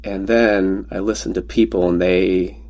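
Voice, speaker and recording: A man from Pennsylvania, a native Pennsylvania Dutch speaker, talking as a podcast guest. His voice is somewhat low for a man. He tells loose, self-mocking anecdotes and slips in jokes along the way. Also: steady tone, unhurried, darkish tone. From Pennsylvania, USA. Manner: joking, rambling anecdotes with self-deprecating humor. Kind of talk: casual conversational storytelling.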